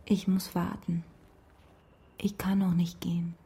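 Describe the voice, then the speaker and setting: An actress playing a stubborn, grieving young daughter in a radio drama, speaking insistently in a breathy half-whisper. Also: female voice, on the low side, level tone, a little slow, mellow, full character voice. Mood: quietly stubborn.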